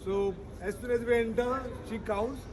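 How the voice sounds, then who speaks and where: A woman from India with an Indian accent, a travel vlogger talking casually to her camera in a rushed way and mixing Hindi into her English. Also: a little high, steady tone. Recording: distant echoey phone audio with background noise.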